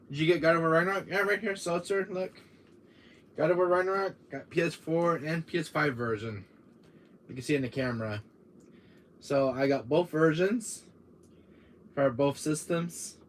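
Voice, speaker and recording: A man with a deep voice hosting a casual gaming live stream, rambling with many fillers while talking to his chat, tired from a cold. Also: slight background noise. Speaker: gaming channel host.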